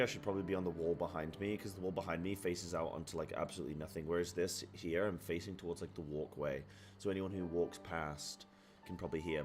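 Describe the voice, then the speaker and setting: A man with a very deep, booming voice that carries across the room chats loosely on a live stream. He rambles and thinks aloud from his apartment. Faint game music sits under his talk. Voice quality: deep, carrying voice. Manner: loud by habit. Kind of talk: casual live-stream chat.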